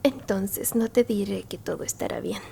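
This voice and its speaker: A woman voicing a gentle, bookish, elderly alien grandmother in a whispered ASMR roleplay, soothing and tender, with a breathy, creaky voice. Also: medium pitch, animated, a little hiss or hum. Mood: soothing, solemn. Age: older. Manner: slow, soothing whispering with soft murmured hums and pauses. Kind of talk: Spanish-language ASMR roleplay, mostly whispered.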